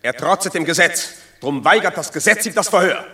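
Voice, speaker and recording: Sharp, hostile Roman tribune. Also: male voice, sits high, expressive, a little fast. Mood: vengeful. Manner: accusing, commanding stage declamation. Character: hostile Roman tribune, harsh rabble-rouser. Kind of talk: classic German radio drama acting.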